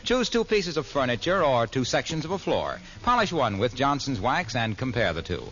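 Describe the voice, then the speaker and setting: A man's voice: medium pitch, fairly bright tone, muffled recording. A man reading a scripted commercial as a polished, salesmanlike announcer in 1940s radio style. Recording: band-limited vintage radio recording.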